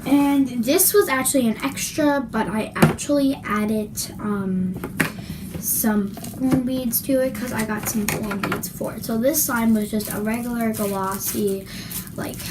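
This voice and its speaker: A young woman reviewing slime in a casual vlog, chatty and apologetic, her voice worn and her throat scratchy. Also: on the high side. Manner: apologetic, chatty, drawn-out words. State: losing her voice, clearing her throat. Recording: loud background noise.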